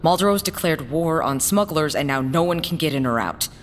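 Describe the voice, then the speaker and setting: An actress playing an earnest, defiant smuggler in an audio drama, speaking in a blunt and serious way. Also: female voice, animated, fairly quick. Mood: urgent, serious. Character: earnest, defiant working-class woman smuggler on the run. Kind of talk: scripted audio drama acting. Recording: long reverb, faint rumbling background noise.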